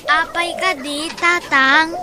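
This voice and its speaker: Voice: extremely high pitch, fairly even intonation, slow, noisy background, heavily acted. A performer playing a small, innocent, whiny child with an Ilocano accent in a radio drama. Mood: whimpering.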